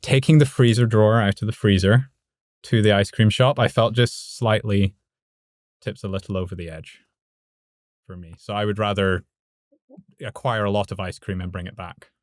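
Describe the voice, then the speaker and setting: A man from Scotland with a Scottish accent, a podcast guest speaking in a measured, slightly hesitant way. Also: on the low side, fairly even intonation, no room sound, studio quality. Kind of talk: casual conversation.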